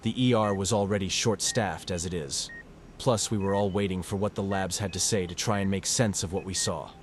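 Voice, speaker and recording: A man's voice: sits low, fairly even intonation, brisk, a little hiss or hum, close to an everyday voice. A man playing a shaken young medical student, narrating and acting in a horror audio drama in an earnest, somber way. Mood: grave. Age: young adult.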